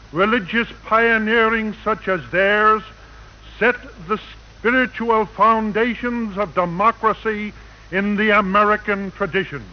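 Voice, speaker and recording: A male clergyman giving a formal religious radio address, solemn and oratorical. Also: sits high. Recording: dull lo-fi 1940 radio broadcast.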